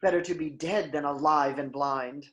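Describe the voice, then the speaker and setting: A woman's voice: low voice, steady tone, normal speed, fairly bright tone. A woman playing a grave chorus elder in a Greek tragedy, speaking formally and solemnly. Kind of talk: theatrical Greek tragedy reading.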